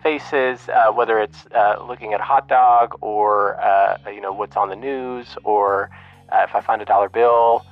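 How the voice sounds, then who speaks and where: A man voicing an upbeat woman from a frowning meme, rambling and stammering, her voice jumping from very high to low. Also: fairly even intonation, unhurried, no room sound, narrow, tinny audio, faint music behind, close to an everyday voice. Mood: chirpy.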